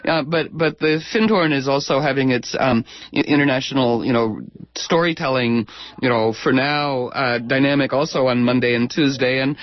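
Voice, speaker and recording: A man's voice: medium pitch, moderate pace. A man hosting a radio talk show, chatting in a rambling, effusive way with many fillers and restarts. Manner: digressive, full of fillers and restarts. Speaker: radio host. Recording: dull, boxy radio audio.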